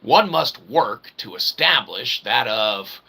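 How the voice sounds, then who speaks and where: A man delivering a rambling comic monologue as a channel host, mock-pompous and wordy, with a theatrical delivery and a gravelly voice. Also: medium pitch, expressive, slow pace, sharp tone. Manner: mock-pompous, wordy, repeatedly ending phrases with "okay". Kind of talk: rambling philosophical comedy monologue.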